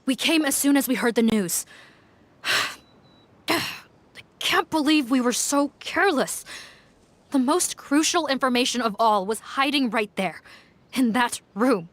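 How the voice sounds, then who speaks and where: A woman's voice: high voice, animated, a little slow. An actress playing an earnest, dutiful female officer in a video game. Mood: regretful.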